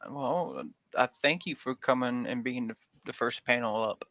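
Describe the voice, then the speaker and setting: A man's voice: mid-range voice, normal speed, bright, forceful tone, no echo. A man from Georgia hosting a casual livestream panel, talking in a rambling, folksy way with lots of fillers. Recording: dull lo-fi dry stream audio.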